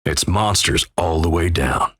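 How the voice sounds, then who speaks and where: A man playing a gruff, folksy, wry Old West narrator in a video game, with a gravelly American Western drawl and measured, ominous storytelling. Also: sits low, a bit bright, dry recording, studio quality, heavily acted. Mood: somber, judging. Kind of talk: dramatic second-person scripted game narration.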